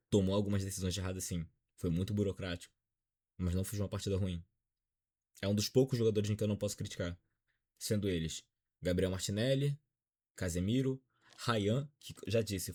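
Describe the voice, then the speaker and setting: A man's voice: lowish voice, mellow. A Brazilian man in his twenties with a Brazilian accent, giving a dejected, frank football opinion vlog. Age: 20s. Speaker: football commentator.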